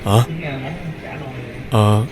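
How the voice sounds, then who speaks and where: A man's voice: very slow, a crowd in the background. A man playing a bashful young man who speaks hesitantly and sounds puzzled, in a comedy radio-style drama. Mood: bewildered.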